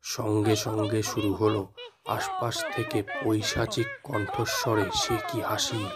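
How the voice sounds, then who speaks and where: A male storyteller with a lowish voice narrates a horror tale very slowly. His delivery is measured and dramatic, holding the suspense. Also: little pitch movement, over a noisy crowd.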